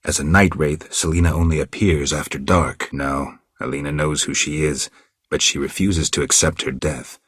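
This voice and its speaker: An actor playing a gruff, stoic, world-weary monster hunter in a video game, speaking tersely and deadpan in a gravelly, husky voice. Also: male voice, very deep voice, moderate pace, no room sound, clean studio sound, heavily acted. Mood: serious, businesslike.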